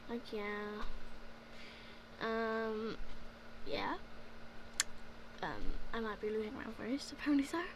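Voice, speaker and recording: Young woman, slightly high, slow-paced, gravelly, rambling gameplay voice-over, faint background noise. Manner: rambling, filler-heavy, repeats "like, yeah". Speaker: gaming video creator. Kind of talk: casual voice-over narration of gameplay.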